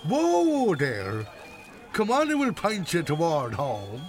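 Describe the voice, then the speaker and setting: Wheedling old Irish barfly, swooping voice. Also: male voice, a little high, wildly swooping pitch, takes it slowly, soft background music, exaggerated voice. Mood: grateful, merry. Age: older. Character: wheedling, thankful old barfly cadging drinks. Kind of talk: radio drama acting.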